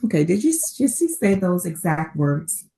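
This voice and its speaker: Woman playing a low-voiced, mellow, measured doctor. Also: big pitch swings. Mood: concerned. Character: measured, concerned woman doctor, composed professional. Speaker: actor in a script table read. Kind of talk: dramatic script reading.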